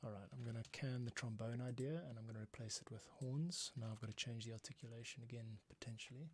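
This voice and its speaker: A man's voice: medium pitch, steady tone, fast, a little hiss or hum. Man thinking aloud on a music stream. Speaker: composer and livestream host. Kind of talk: casual tutorial while working. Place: small home closet studio. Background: music cues playing.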